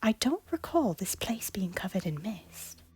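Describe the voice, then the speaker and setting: A woman's voice: medium pitch, very animated, unhurried, a little mellow. A woman playing a widowed medieval queen. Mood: hushed. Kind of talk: fan audio drama acting.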